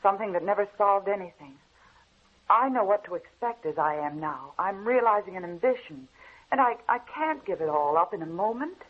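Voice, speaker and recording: An actress playing an earnest, principled career woman with a measured, dramatic delivery in an old-time radio play. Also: mid-range voice, expressive, slightly rough. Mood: earnest, firm. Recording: dull old radio recording.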